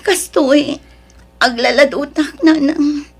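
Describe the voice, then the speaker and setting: A sorrowful, worn-down wife in an audio drama, acted by a woman. Her voice is high and plaintive, often close to tears, with a pleading manner. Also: steady tone, slow pace, a little hiss or hum. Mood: anxious, tearful. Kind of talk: Ilocano audio drama acting.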